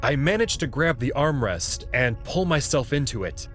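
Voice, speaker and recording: A man narrating a first-person sci-fi story in a slow, measured reading. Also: mid-range voice, big pitch swings, fairly bright tone, soft background music.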